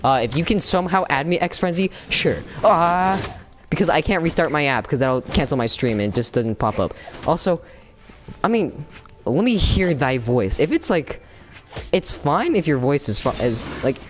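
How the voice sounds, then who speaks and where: A young man chatting casually while he streams a fighting game, excitable, with sudden yelps and laughs. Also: fairly high pitch, moderate pace, soft background music. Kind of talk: casual gameplay commentary. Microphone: no camera, desk mic.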